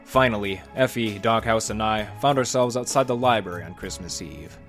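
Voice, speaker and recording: Man playing a hardboiled radio-play detective, low pitch, wry, folksy, kindly underneath, music in the mix. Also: moderate pace, a bit bright, lightly acted. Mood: wry narrating. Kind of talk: noir-style first-person narration and dialogue in a radio play.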